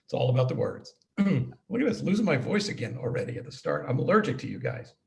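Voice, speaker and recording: A man hosting a webinar, giving introductions and casual asides in a halting way with frequent throat clearing. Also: medium pitch, sing-song, darkish tone, slight background noise. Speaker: webinar host and compliance consultant.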